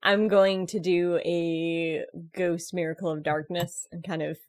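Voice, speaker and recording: A woman playing a tabletop role-playing game, describing her character's actions in an unhurried, playful way. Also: on the low side, flat delivery, a little mellow. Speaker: player.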